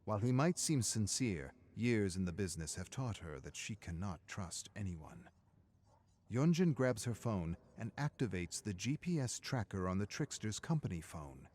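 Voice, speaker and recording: Guy with a low voice doing dramatic story narration, reading from a script. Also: sing-song, normal speed, a little mellow.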